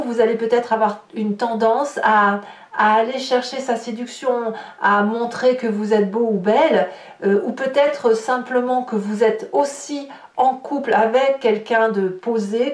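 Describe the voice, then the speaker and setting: A woman with a fairly high voice gives an improvised tarot reading. She speaks haltingly, feeling for her words and restarting phrases. The recording is roomy and echoing, with her voice some distance from the mic. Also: a little mellow. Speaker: tarot card reader. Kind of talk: unscripted tarot reading, guidance monologue.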